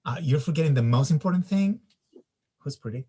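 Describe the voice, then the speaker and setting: An actor playing a jealous, insecure boyfriend in a screenplay table read, defensive and theatrical. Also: male voice, on the high side, animated, brisk, dark tone, slightly rough. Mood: possessive. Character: jealous, insecure, possessive boyfriend with dramatic flair.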